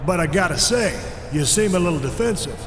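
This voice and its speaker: An actor voicing a determined, plain-spoken pro wrestler in a video game. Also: male voice, high-pitched, lively intonation, fairly quick, big-room sound, noisy background. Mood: probing.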